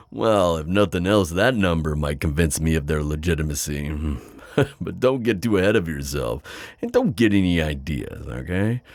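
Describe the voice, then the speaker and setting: A man playing a suave, skeptical demon buyer in an ASMR audio roleplay, with a deep voice and a sardonic, halting manner. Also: dry recording, heavily acted. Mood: shrewd, bargaining. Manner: flirty, sardonic, hesitant pauses and drawn-out words.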